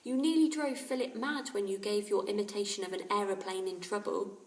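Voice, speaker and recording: A woman's voice: medium pitch, fairly even intonation, brisk, close to an everyday voice. A woman voicing a kind, chatty boy who is fond of his pet, in a storybook reading. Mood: pitying. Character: kind boy recovering from flu, fond of parrot. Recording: roomy, distant microphone.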